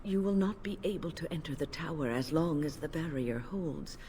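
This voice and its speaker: An actress playing a grave, protective older female mage, speaking in a formal, measured way in a video game. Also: sits low, lively intonation, dark tone, a little hiss or hum.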